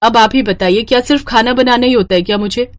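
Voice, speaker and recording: A woman voicing an exasperated but dutiful young daughter-in-law in an animated story, with an emphatic, complaining manner. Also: fairly high pitch, fast, close to an everyday voice. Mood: hurt, complaining. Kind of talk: animated moral-story cartoon voice acting.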